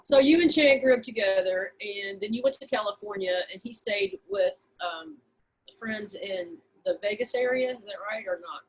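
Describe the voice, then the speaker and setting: A woman's voice: mid-range voice, moderate pace. A woman interviewing someone in a casual, informal and encouraging way over a video call. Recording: noisy video call with background ambience.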